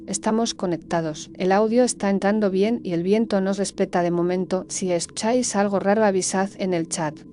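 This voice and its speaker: Woman playing a careful principled stream tech in a horror audio story, soft dark tone, serious, matter of fact. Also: mid-range voice, fairly even intonation, moderate pace, few pauses, a little hiss or hum, close to an everyday voice. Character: Marta, principled, cautious woman sound technician on livestream.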